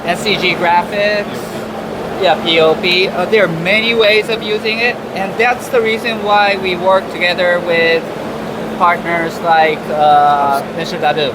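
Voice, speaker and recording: Japanese male executive, halting English, noisy trade floor. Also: high voice, steady tone. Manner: hesitant, many pauses and uhs. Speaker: company executive. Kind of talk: panel talk at a trade event. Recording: loud background noise.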